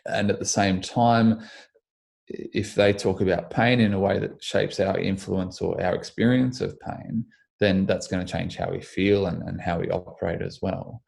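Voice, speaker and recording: A young Australian man, a physiotherapist, answering interview questions in a measured way, slightly croaky in the morning, restarting his sentences often. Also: low-pitched, fairly even intonation, a little mellow. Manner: measured, with frequent restarts and ums. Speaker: physiotherapist guest.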